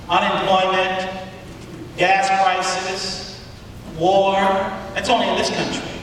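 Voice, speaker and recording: A man delivering a sermon, speaking emphatically and deliberately with rhetorical questions and pauses in a large auditorium. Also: sits high, a bit bright. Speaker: preacher giving a public address. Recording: echoey hall from far off, faint noise.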